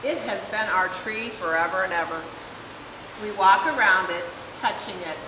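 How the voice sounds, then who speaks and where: Woman doing slow expressive kids story reading, echoey room, mic far away, hissy background. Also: mid-range voice. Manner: expressive, deliberate storytelling with acted dialogue. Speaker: volunteer story reader. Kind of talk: children's picture book read-aloud. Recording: echoey hall, distant mic, clear hiss.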